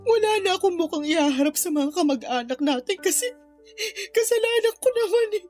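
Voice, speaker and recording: A woman's voice: very high-pitched, animated, fairly quick, darkish tone. An actress playing an ashamed, grieving mother in a radio drama, tearfully confessing and blaming herself as she cries. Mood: tearful shame. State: crying. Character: remorseful impoverished mother, a runaway aunt, ashamed and grieving. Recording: music bed under the voice.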